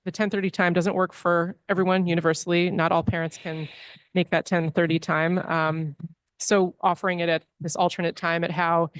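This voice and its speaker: A woman speaks in a lowish voice at a board meeting, delivering her director's report. She is earnest and keeps pausing on "um" and restarting phrases. The sound is dry and close, with no room echo. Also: flat delivery, clean tone. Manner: frequent ums and restarts, earnest. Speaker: library director.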